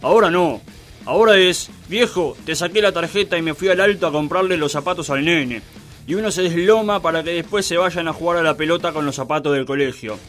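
A man's voice: on the high side, fairly quick, a bit bright, faint music behind, close to an everyday voice. A man voicing an irascible professor who rants and scolds crudely in a comic radio play. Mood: exasperated. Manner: ranting, insulting.

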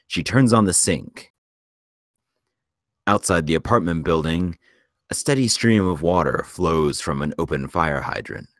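A man's voice: sits low, expressive, measured pace, long pauses, no room sound, clean studio sound. A man reading screenplay stage directions aloud as scripted narration. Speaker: screenplay narrator at a table read.

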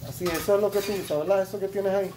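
A man's voice: high-pitched, fairly even intonation, racing. A man filming a friend's cooking on his phone, asking curious questions in casual chat in a home kitchen. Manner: curious, asks many questions. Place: small home kitchen, indoors. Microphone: phone camera in hand. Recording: phone audio in a noisy kitchen.

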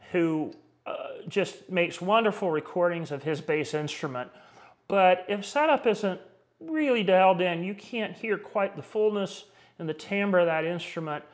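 Higher-voiced middle-aged man reviewing gear. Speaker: audio equipment reviewer. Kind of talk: conversational product review.

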